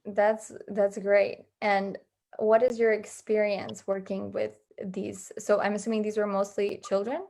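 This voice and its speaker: A woman's voice: medium pitch, level tone, measured pace, vocal fry, a little mellow. A woman hosting a conversational interview, putting her questions in a tentative, slightly hesitant way. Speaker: interviewer, host.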